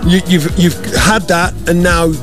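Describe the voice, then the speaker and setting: A man's voice: high voice, steady tone, unhurried, music in the background. A man hosting a radio interview, chatty and eager, prompting his guest with questions. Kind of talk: casual interview chat. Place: festival radio booth.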